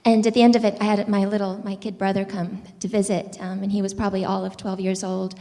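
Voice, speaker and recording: A woman's voice: medium pitch, flat delivery, fast, mellow. A woman on a conference panel speaking thoughtfully, with frequent restarts and fillers. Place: lecture hall. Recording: long hall reverb.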